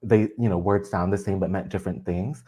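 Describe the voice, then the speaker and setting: Guest poet, Vietnamese first language, low register, earnest, quick to laugh. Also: breathy edge. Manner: earnest, animated, laughs often. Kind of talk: reflective conversation on craft.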